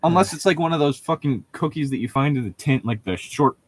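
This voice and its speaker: A man's voice: fairly high pitch, expressive, a little fast, slightly rough. A man giving casual, mocking comedic commentary among co-hosts, swearing freely. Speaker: co-host.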